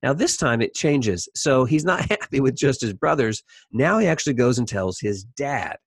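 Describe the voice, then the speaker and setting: A man's voice: medium pitch, expressive, moderate pace, husky, no room sound, studio quality. A man teaching a Bible story in an informal, conversational way, thinking aloud as he comments on it. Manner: conversational, thinking aloud, acting out imagined dialogue.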